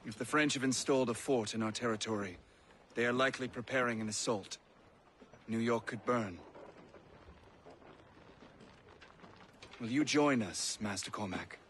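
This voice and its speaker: An actor playing a dignified, courteous colonial colonel in a video game, formal and authoritative. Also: male voice, mid-range voice, a little fast, fairly bright tone, a little hiss or hum, close to an everyday voice.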